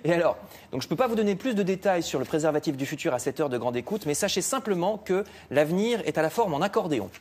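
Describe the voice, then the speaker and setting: A man's voice: fairly high pitch, expressive, fast talker, husky. A man speaking on a TV show, coy and teasing as he holds back details. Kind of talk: teasing chat on air.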